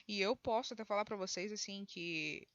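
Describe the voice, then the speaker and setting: A woman's voice: mid-range voice, moderate pace, a bit bright, no echo, highs cut off. Brazilian woman teaching a class, dry sound. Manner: explanatory, conversational, frequent tag questions and restarts. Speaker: high school geography teacher. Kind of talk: recorded online lesson.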